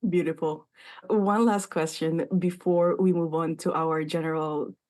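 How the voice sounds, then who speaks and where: A woman's voice: deep voice, fairly even intonation, unhurried, mellow. A woman host with a Filipino accent asking a long, rambling interview question with fillers. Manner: rambling, fillers, searching for words. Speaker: podcast host.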